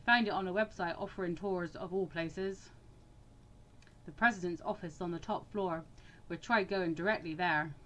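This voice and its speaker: A woman voicing a gruff, dismissive older male mentor in a visual novel, speaking tersely and matter-of-factly. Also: fairly low pitch, moderate pace, a bit bright, pitched below the performer's own voice, lightly acted.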